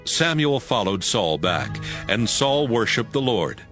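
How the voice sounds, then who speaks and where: Casting: male scripture narrator, lowish and forceful, highly animated delivery, scripted drama with soft music behind. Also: unhurried.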